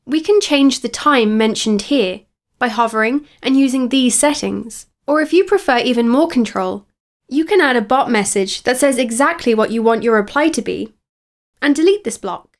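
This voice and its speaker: A woman with a slightly high voice narrates a scripted software lesson. She explains each step clearly, as a tutorial presenter would. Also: lively intonation, moderate pace.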